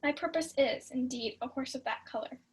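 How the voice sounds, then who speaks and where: A young woman playing a shrewd, mischievous household servant in a Shakespeare radio play, brisk and conspiratorial. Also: sits high, husky. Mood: gleeful, conspiratorial. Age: young adult.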